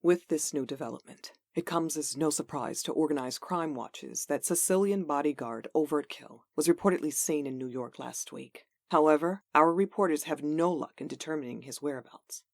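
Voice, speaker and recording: A woman's voice: low-pitched, normal speed, vocal fry, hardly any pauses, no room sound, studio quality. A woman playing a serious television news reporter, reading scripted news in a comic dub. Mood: serious, matter-of-fact.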